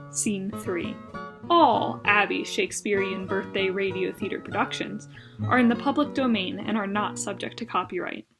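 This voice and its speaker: A woman giving a formal, scripted theatrical introduction as the announcer of a radio play. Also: mid-range voice.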